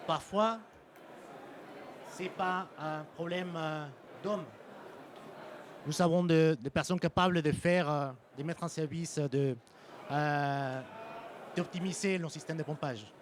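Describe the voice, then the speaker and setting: A man with a Mexican Spanish accent giving a conference presentation as a sales engineer, pausing often with ums and restarting phrases. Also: a little high, noisy background. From French-Mexican. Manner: hesitant, frequent ums and restarts. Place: conference hall.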